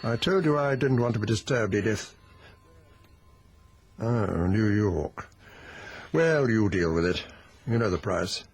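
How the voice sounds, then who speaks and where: A man's voice: on the low side, animated, measured pace, pauses often, slight background noise. An actor playing a self-assured, wealthy company chairman in a radio drama, speaking with urbane authority. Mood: imperious.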